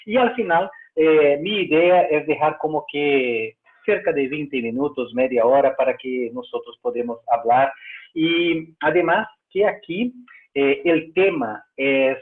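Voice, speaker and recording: A fairly high-voiced man with a Brazilian Portuguese accent, presenting a webinar over a video call. He speaks a learned second language, pausing and restarting often. The sound is thin and tinny, like a phone line. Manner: hesitant, many fillers and restarts in a second language.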